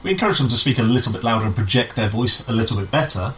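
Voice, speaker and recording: A man telling anecdotes casually as an interview guest, in a halting way that often rewords mid-sentence. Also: mid-range voice, fairly bright tone. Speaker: interview guest, amateur pantomime director. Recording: clear background noise.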